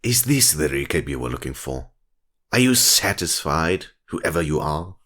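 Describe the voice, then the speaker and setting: A man's voice: extremely low pitch, very animated, normal speed, sharp tone, heavily acted. An actor playing a pompous, scornful villain professor in an audio drama, grandiose and condescending as he argues a prosecution. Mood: sarcastic.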